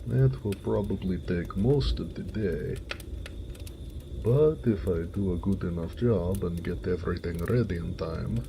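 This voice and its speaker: A man voicing a gentle, hardworking orc blacksmith in an audio roleplay, weary and good-natured, musing to himself in a homely way. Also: deep voice, creaky, background noise.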